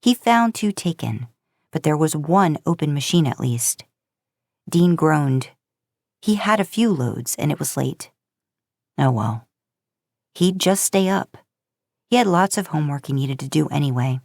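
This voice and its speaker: A woman's voice: sits low, lively intonation, unhurried, vocal fry, long pauses, a little mellow, dry recording, studio quality. A woman narrating a story as a podcast host, in audiobook style.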